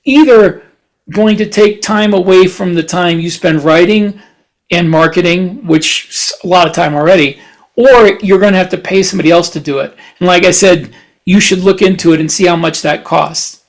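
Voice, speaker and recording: A man co-hosting a casual podcast, giving blunt practical opinions and wry, deadpan quips. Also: sits high, moderate pace. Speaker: podcast co-host. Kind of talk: casual chat.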